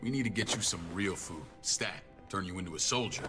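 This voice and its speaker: Casting: hardened, low-voiced soldier in charge of security, commanding, blunt, protective, for a cinematic game; music behind. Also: male voice, expressive, fairly quick, bright, forceful tone. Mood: gruff affection. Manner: blunt, profane, clipped orders. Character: gruff, commanding military man, hardened and protective older brother. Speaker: security chief of a survivor settlement. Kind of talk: cinematic video game acting.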